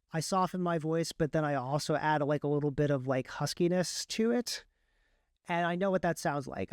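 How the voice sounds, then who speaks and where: A man's voice: on the high side, darkish tone, smooth, no room sound, studio quality. A man speaking casually as an interview guest, explaining his craft and often repeating words mid-sentence. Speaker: guest, author and audiobook narrator.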